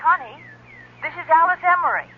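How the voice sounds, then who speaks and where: A woman's voice: very high voice, lively intonation, normal speed. An actress playing a genteel, middle-aged wealthy lady in an old-time radio drama, gracious and coaxing in manner. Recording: muffled, noisy old radio broadcast recording.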